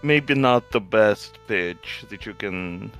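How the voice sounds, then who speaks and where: Casual man chatting with lively intonation. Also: on the low side, slow. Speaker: co-host commenting on the game. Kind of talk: casual joking chat. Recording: faint music behind voice.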